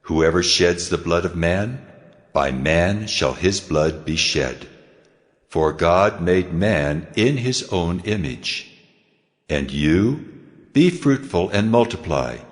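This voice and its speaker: God in a Bible audio drama. The voice is very low and commanding, with solemn authority. It rings out in a long, cavernous reverb. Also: male voice, expressive, slow pace.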